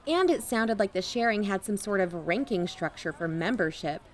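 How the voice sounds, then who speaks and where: An actress voices a watchful female investigator with a medium-pitched voice. She narrates her covert work in a measured, wry, observant first-person style for an audio drama. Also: lively intonation, close to an everyday voice.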